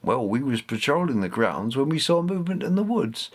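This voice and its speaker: A man's voice: medium pitch, very animated, brisk. A man voicing a puzzled, unschooled estate workman in a storybook, speaking in a plain colloquial way. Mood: baffled, shaken. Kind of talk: storybook character voice.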